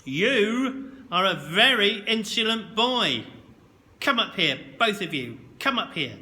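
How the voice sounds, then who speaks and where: A man's voice: sits high, a little slow, heavily acted. A man voicing a stern, bullying old male schoolteacher with a military manner, barking orders. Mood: barking, menacing. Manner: barking, shouted commands.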